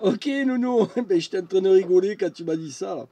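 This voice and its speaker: A man's voice: high-pitched, brisk. A man, a friendly and chatty amateur radio operator having a casual contact. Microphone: studio microphone at the radio. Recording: clear steady background noise.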